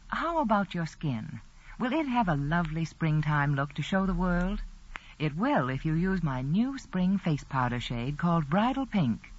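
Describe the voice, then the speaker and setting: A woman reading a 1940s radio commercial in a polished, persuasive scripted style. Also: low voice. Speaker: advertising spokeswoman. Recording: dull vintage radio broadcast.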